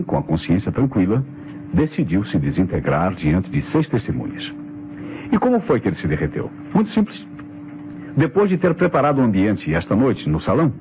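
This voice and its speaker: A Brazilian man playing a methodical, authoritative police inspector in an old radio mystery, explaining in a deliberate, declamatory way. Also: lowish voice. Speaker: radio drama actor. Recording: dull, noisy vintage radio recording.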